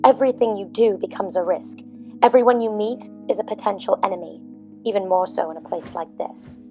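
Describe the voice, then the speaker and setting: A woman plays a blunt, worldly-wise female companion in an audio drama, wary of her surroundings. The sound is a little muffled, with soft music underneath. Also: mid-range voice, fairly quick, lightly acted.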